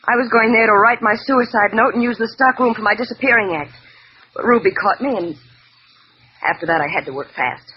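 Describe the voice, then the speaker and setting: An American actress playing a cold-blooded, scheming murderess with intense theatrical delivery in a 1940s radio melodrama. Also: female voice, fairly high pitch, a little fast, close to an everyday voice. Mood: coolly menacing. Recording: muffled, slightly noisy old radio recording.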